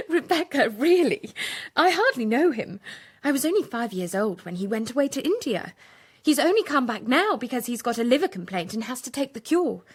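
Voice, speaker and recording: Radio period drama: sweet young English lady, earnest and genteel, high, lively voice. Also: moderate pace, breathy edge. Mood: cheerful, giggly. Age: young adult. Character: gentle, sweet, naive, well-bred young lady.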